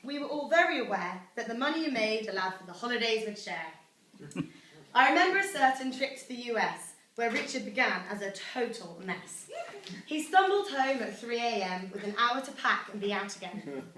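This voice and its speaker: Bright, lively British woman in an echoey room. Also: a little high, moderate pace. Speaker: daughter reading her own celebratory poem. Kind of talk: rhyming speech read aloud to a gathering. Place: echoey room at a family celebration. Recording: echoey room, distant mic, faint noise.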